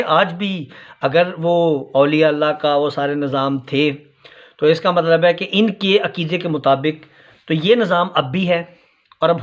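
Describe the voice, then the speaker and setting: A man giving unscripted critical commentary in a halting, repetitive, challenging manner. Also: sits high. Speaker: vlogger commenting on a sermon clip. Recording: dull, lo-fi sound.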